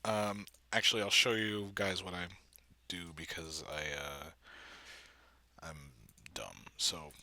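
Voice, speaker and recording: Bright-voiced man muttering at his computer. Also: deep voice, slow pace, long pauses, dry recording. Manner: self-deprecating, muttering asides while typing. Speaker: video creator, comic dub editor. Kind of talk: casual screen-recording commentary. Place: indoors at a computer.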